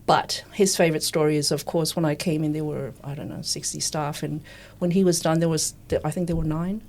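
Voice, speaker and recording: A woman's voice: low-pitched, steady tone, brisk, darkish tone. A woman in her fifties, a recruitment coach chatting candidly as a podcast guest and acting out the remarks she quotes. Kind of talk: conversational storytelling.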